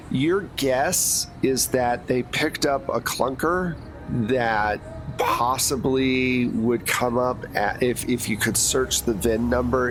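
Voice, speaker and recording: A man in a mid-range voice runs a tabletop horror game as its game master. He talks in a relaxed, descriptive way, laying out what the players find and taking their questions. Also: medium pitch, a little hiss or hum.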